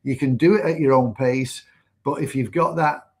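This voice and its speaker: A man co-hosting a casual livestream chat in a relaxed, conversational way, following up on his partner's points. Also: mid-range voice, moderate pace. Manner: laid-back, conversational, adds to her points. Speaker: co-host.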